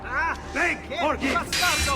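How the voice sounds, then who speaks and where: An actor voicing a crazed, paranoid priest in a video game, raspy and declaiming like a zealous preacher. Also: male voice, very high-pitched, unhurried, over loud music. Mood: frenzied zeal. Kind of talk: video game dramatic acting.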